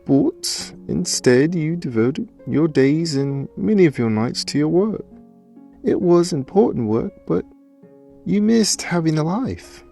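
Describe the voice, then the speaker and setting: An affectionate companion dog, acted by a man for a radio play, speaks in a gravelly, lively voice. The delivery is effusive and sentimental, with theatrical swoops over faint music. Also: fairly high pitch, slow, full character voice. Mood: earnest, tender. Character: devoted pet dog, affectionate, dramatic, sentimental male companion.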